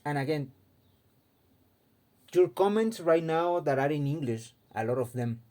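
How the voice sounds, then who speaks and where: A man's voice: a little high, expressive, measured pace, mellow. A man from Mexico, giving an impassioned casual opinion monologue and often repeating words as he searches for them. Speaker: fighting game commentator.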